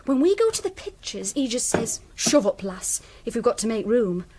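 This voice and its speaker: A woman's voice: fairly high pitch, very animated, normal speed, noisy background. An actress playing a chatty, artless young working-class woman in a radio drama. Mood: chatty, dreamy. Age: young adult. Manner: artless, dialect turns of phrase. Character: chatty young working-class household girl weighing her suitors.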